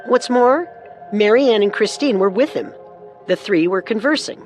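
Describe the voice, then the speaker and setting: A woman co-narrating a scripted true-crime story with measured, dramatic delivery. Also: medium pitch, lively intonation, faint music behind.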